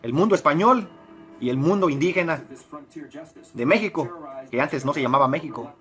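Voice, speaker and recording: A man giving measured, explanatory commentary and repeating phrases for emphasis. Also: on the high side, animated, fairly bright tone, faint music behind. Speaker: commentator in a played video.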